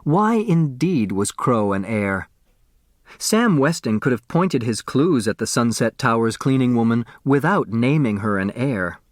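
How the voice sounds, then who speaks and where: Male narrator for dramatic fiction audiobook: unhurried, medium-pitched, recorded dry. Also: animated, no room sound. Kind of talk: scripted dramatic story narration.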